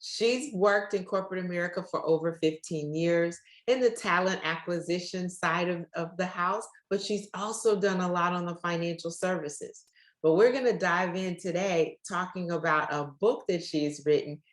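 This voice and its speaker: Lower-voiced woman host, super enthusiastic faith podcast vibe, echoey room. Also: normal speed. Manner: enthusiastic, preacherly.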